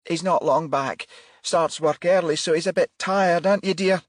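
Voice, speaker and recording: A man's voice: fairly high pitch, normal speed, dry recording, clean studio sound, voice pushed up, natural voice. A man voicing an anxious, stern mother in her early fifties for an audiobook, fussing over her son. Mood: fussing, scolding. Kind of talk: audiobook character dialogue.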